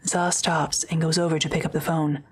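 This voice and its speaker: A woman's voice: sits low, level tone, moderate pace, darkish tone, a little hiss or hum. A woman reading scripted audio drama narration in a neutral, matter-of-fact way, describing action in the present tense.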